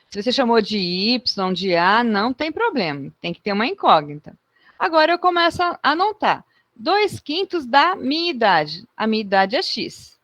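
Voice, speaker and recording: A woman's voice: on the high side, fairly bright tone, no room sound. A woman teaching a math lesson in a chatty way, often checking in with her students.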